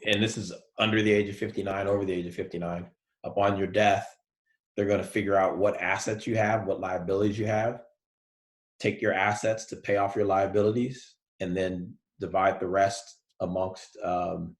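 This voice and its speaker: A male college professor giving a relaxed, soft-spoken lecture online, with many fillers. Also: low voice, reverberant.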